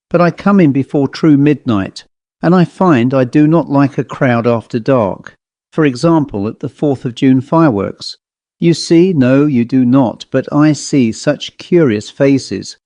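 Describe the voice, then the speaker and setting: A man reading a ghost story as an audiobook narrator, in a measured, literary storytelling manner. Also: mid-range voice, dark tone, no room sound.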